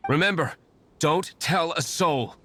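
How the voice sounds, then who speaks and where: An actor playing an aloof, proud young nobleman vigilante in a video game, with a formal, deadpan manner. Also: on the high side, a little slow, soft background music. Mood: stern. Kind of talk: video game character acting.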